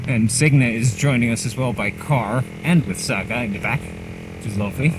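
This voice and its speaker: A man's voice: mid-range voice, moderate pace. Man vlogging from a motorbike helmet. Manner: chatty, rambling, self-mocking. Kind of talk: casual running commentary while riding. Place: on a motorcycle on a country road outdoors. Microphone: helmet mic. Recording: helmet mic with clear engine noise. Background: engine and wind noise.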